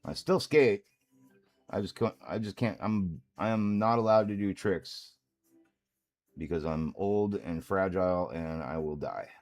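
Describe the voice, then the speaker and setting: A man with a very deep, low voice chats loosely as he paints at his hobby workspace. He rambles, laughs easily and keeps it casual, with faint music playing behind him. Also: a little slow. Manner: relaxed, rambling, chuckles often. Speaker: miniature painter and streamer. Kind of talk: casual livestream chat while painting.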